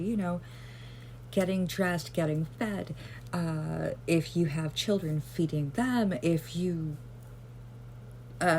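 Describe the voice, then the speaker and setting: A woman vlogger rambling gently through casual self-care advice, with lots of fillers and self-deprecating asides. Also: on the low side, a little hiss or hum.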